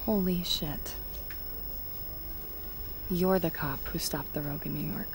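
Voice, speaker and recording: A woman's voice: deep voice, measured pace, a little hiss or hum. An actress in an audio drama playing a young female newspaper reporter who talks in an earnest, candid, conversational way. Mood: awed.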